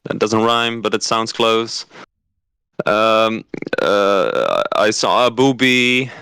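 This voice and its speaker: An awkward, clueless amateur singer, played by a man who halts and fumbles for rhymes. His voice is low and clean, and he talks very slowly. It is a close, dry recording. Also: lively intonation, bright, forceful tone, smooth, no echo, full character voice. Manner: hesitant, halting, making up rhymes. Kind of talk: improvised comedy skit.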